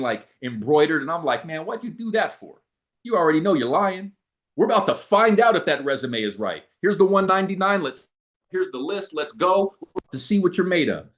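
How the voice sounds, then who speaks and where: A man giving a motivational talk to a group, animated, acting out both sides of his stories. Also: a little high. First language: not English. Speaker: motivational speaker. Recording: dull lo-fi sound.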